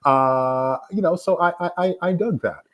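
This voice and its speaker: A man's voice: fairly high pitch, normal speed. A man speaking as a panelist in a casual discussion, repeating words as he searches for phrasing.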